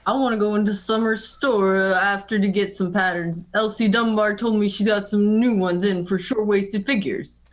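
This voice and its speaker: A woman's voice: medium pitch, steady tone, normal speed, few pauses, close to an everyday voice. A woman playing a practical, plain-spoken farm wife with an earnest, matter-of-fact manner in an amateur radio play. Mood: eager.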